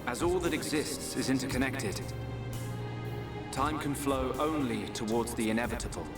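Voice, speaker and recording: A man's voice: medium pitch, moderate pace, close to an everyday voice. Man voicing an echoing, solemn, aloof god. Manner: solemn, formal, declamatory. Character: serene, aloof god reborn, pronouncing fate. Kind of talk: video game cutscene acting. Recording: distant echoing voice under loud music.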